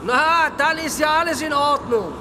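A man playing a brisk official in a radio play, calling out instructions to a departing traveller. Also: very high-pitched. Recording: noisy outdoor scene with engine sounds.

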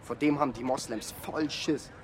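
An actor playing an excitable, naive young man in a radio drama, talking in street slang. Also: mid-range voice, normal speed, natural voice. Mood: excitable, chatty. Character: excitable, naive young male hanger-on from the youth club. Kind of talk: slangy interview-style radio drama monologue.

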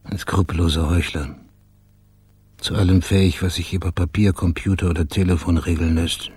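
Gruff tired detective, really low raspy voice, short grave lines, radio krimi. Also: male voice, steady tone, normal speed, a little mellow. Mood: grim, reflective. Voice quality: husky, raspy. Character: stern, weary male investigator pressing suspects. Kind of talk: naturalistic German radio crime drama.

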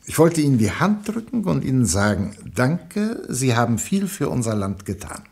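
An actor playing a pompous, evasive government minister in a German radio play, speechifying in a husky voice with rhetorical flourishes. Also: male voice, medium pitch, sing-song, normal speed, a little mellow, heavily acted. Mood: flustered, unctuous.

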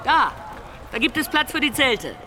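An eager young prince in a radio play. His voice is extremely high and swings with excitement. Faint noise surrounds him outdoors. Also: normal speed, exaggerated voice. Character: eager, high-voiced son of a medieval emperor. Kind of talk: literary radio drama acting.

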